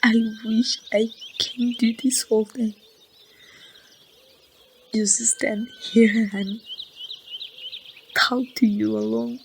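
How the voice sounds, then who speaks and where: Actress as grieving young woman in an audio roleplay: slow, halting, tender near-whispers, faint birdsong and ambience behind. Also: a little high, steady tone, background noise, heavily acted. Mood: heartbroken, reluctant. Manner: soft, halting, breathy delivery slipping toward whispers. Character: grieving young woman saying goodbye to her lost loved one. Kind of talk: amateur ASMR roleplay monologue.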